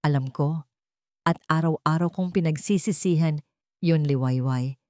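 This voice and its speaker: Remorseful estranged father played by a woman, Filipino accent, broken, halting, pleading, radio drama, dry recording. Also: low voice, darkish tone, dry recording.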